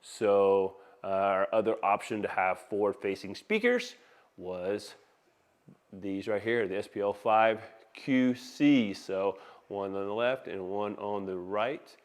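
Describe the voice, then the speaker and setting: A man with a fairly low, smooth voice walks viewers through an audio install. He speaks informally and with enthusiasm, like an installer proud to sell his work. It is recorded on a handheld phone inside an open living room. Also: animated, slow pace. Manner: informal, enthusiastic salesman-like patter. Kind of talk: casual video walkthrough. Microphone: handheld phone camera.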